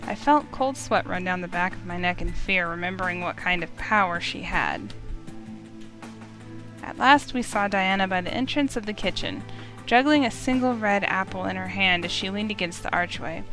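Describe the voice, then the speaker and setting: A woman's voice: medium pitch, expressive, normal speed, a bit bright. Woman narrating a story over music. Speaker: narrator and player reading a visual novel. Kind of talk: expressive first-person story narration.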